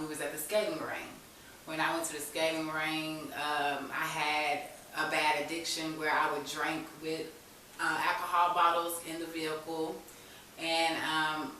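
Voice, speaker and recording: A woman's voice: deep voice, flat delivery, normal speed, pushed and bright. A woman speaking as an interview guest, telling her own story candidly and informally in conversation. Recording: very echoey room, distant mic, faint hiss.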